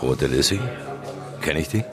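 An actor in a German radio drama playing a streetwise newspaper reporter with a casual, probing, laconic way of talking. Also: male voice, rumbling low, lively intonation, a little slow, over music.

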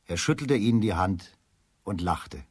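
A man's voice: sits low, animated, measured pace, breathy edge, no echo, clean studio sound. A man narrating a children's radio play as a scripted storyteller.

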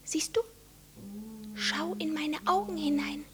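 A feverish young girl in a 1950s German radio play, high and very slow. She murmurs in a dreamy trance, breathy and deranged, circling the same thoughts. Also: animated. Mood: entranced, whispering.

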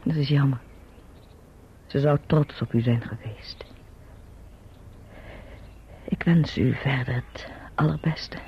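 An actor playing a grave, politely formal well-wisher in a Dutch radio play. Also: male voice, medium pitch, slow, pauses often. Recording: muffled, faintly noisy old recording.

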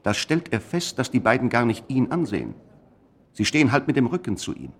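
A man narrating a scripted radio play in a measured, literary manner. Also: mid-range voice, sing-song, creaky, husky.

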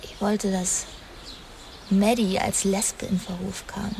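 An actress playing a bitter, wounded young woman making a halting confession in a radio drama. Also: medium pitch, level tone, creaky, mellow, slight background noise. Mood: resentful. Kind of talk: dramatic radio play dialogue.

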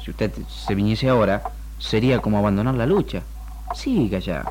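A man with a Rioplatense accent playing a calm, firm doctor in a 1960s radio drama, speaking in a solemn, measured, counselling way. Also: on the low side, noisy background, lightly acted. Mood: firm, grave. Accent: Rioplatense Argentine. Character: calm, firm male doctor guiding a troubled patient. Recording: old radio archive tape with music.

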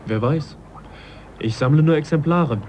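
An actor playing a modest, sceptical young English naturalist in a German radio drama, speaking in a reflective, politely questioning way. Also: male voice, a little high, unhurried, darkish tone, lightly acted. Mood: thoughtful. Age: young adult. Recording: dull, faintly noisy radio drama recording.

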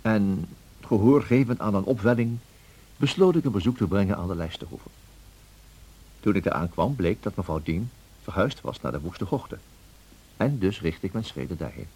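Refined gentleman narrator in a radio play, deep n husky, measured but melodic. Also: lively intonation, low-quality recording. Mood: composed, reflective. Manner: measured, formal storytelling. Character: gentleman visitor narrating his memories, refined, observant.

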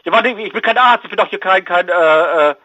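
A man working as a bookshop keeper, speaking on the phone in an exasperated, blunt and halting manner. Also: high voice, pushed and bright, no room sound, dull audio. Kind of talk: phone conversation.

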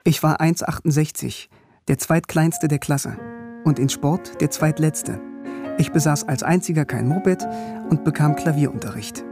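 Wry, higher-voiced young man. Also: measured pace, vocal fry, over music. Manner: self-deprecating, wryly literate. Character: wry young East German man recalling his awkward youth. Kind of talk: German radio drama, first-person narration and dialogue.

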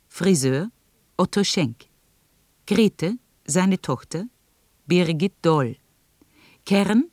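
A woman radio announcer reading scripted credits in a formal, measured manner. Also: sits low, darkish tone, no echo, studio quality.

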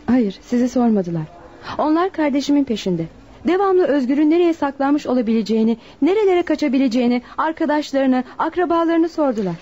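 A high, soft-toned female voice plays a worried young waitress. She speaks fast, respectful and earnest, in radio drama style. The recording is slightly dull. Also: expressive. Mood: guarded. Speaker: voice actor. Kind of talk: Turkish radio drama acting.

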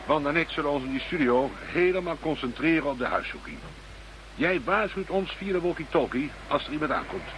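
An actor playing a brisk, wry senior police investigator in a radio play, authoritative and matter-of-fact. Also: male voice, fairly high pitch, noisy background.

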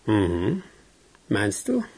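A man's voice: on the low side, wildly swooping pitch, very slow, a little mellow, breathy edge, full character voice. A man playing a wary, businesslike criminal in a radio crime drama, terse and insistent. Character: wary, businesslike male criminal urging his partner on. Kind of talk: German radio play acting.

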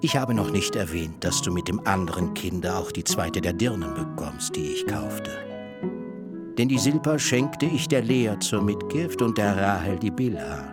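Ein Schauspieler spielt in einem Hörspiel einen gerissenen, strengen alten Vater, der hart und belehrend verhandelt. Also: male voice, low-pitched, expressive, loud music behind the voice. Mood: stern bargaining. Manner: measured, lecturing, haggling. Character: shrewd stern old father bargaining hard. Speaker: actor in a radio play. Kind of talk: literary radio drama acting.

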